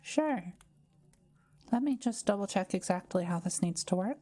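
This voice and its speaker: A woman voicing a kind, calm, scholarly forest witch in an intimate audio roleplay, explaining things in a gentle, measured way. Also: medium pitch, natural voice. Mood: gentle, considering.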